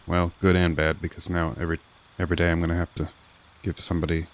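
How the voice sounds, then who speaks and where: A man's voice: sits low, monotone, quick pace. A man doing casual video game commentary as a streamer, muttering unhurriedly as he thinks aloud.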